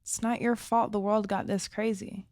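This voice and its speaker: A woman acting in an audio drama as a calm, reassuring cyberpunk fugitive with a soft, hesitant manner. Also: mid-range voice, normal speed, gravelly, no echo, studio quality, lightly acted.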